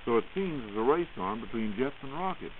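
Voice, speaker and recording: A man's voice: medium pitch, sing-song, brisk, phone-quality sound. An American man hosting a scripted 1940s radio program, presenting and asking questions in a formal, courteous way. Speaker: radio program host and announcer. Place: radio studio. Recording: hissy, band-limited 1940s radio recording.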